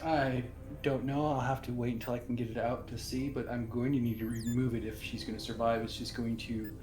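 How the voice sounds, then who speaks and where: A man's voice: medium pitch, little pitch movement, a little fast. A man speaking as a player in a live tabletop roleplaying game, in a room with audible echo. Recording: roomy echo on voice. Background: music.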